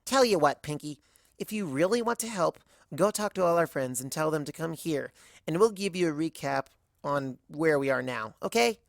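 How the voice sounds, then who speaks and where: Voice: mid-range voice, lively intonation, normal speed, gravelly, no room sound, studio quality. A narrator voicing an anxious, brainy young female pony who talks in wordy, awkward bursts. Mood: coaxing.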